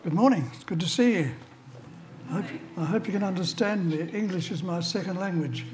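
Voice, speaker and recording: A man's voice: high-pitched, brisk, darkish tone, a little hiss or hum. A man, preaching a chapel sermon and telling a personal story with dry humorous asides. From Australia. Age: 70s.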